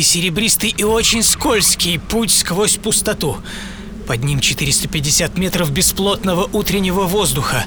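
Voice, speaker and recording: An actor playing a daring, exhilarated 25-year-old tightrope walker in a theatrical first-person radio monologue, moving between hushed pauses and fervent intensity. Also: male voice, mid-range voice, lightly acted. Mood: tense recollection. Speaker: actor and author of the programme. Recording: studio voice under loud music. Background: loud dramatic music.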